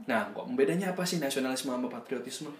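A man's voice: medium pitch, lively intonation, fast, roomy sound. A young man co-hosting a casual chat, agreeing with short replies and adding jokes.

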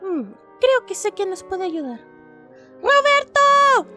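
A woman's voice: very high voice, big pitch swings, slow, bright, forceful tone, pitched above the performer's own voice, heavily acted. A woman with a Chilean accent voicing a kind, encouraging female reindeer in a radio play. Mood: urgent, calling. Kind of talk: radio play character acting. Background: music.

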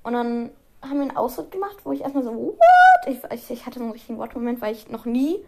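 Teen girl vlogging, kinda high voice, rambles a lot and giggles, restarts sentences all the time. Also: fast talker, vocal fry, dark tone. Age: teens. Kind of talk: casual chat to camera.

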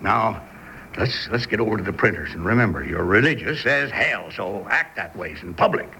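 An actor playing a sly, smooth-talking American swindler who poses as pious, in a radio drama. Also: male voice, fairly low pitch, animated, moderate pace, noisy background. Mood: conspiratorial. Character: sly male swindler posing as pious mine seller.

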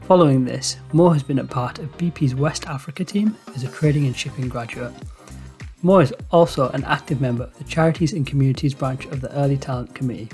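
A man's voice: medium pitch, a little fast, darkish tone. A young man hosting a podcast, reading a scripted introduction in an upbeat, formal way. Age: young adult. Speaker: podcast host. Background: faint background music.

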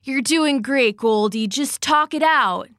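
A woman voicing Grumbles, an eager, dim male bear who cheers his friend on excitedly. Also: high-pitched, slow, dry recording, studio quality, voice pushed up, heavily acted. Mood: encouraging. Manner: excited, encouraging.

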